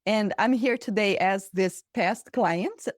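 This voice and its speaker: A woman from Brazil, Portuguese her first language, answers as a coach guest in her thirties. Her mid-pitched voice is earnest and animated, with rhetorical questions. The sound is dry and close. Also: slow, dry recording. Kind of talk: interview answers.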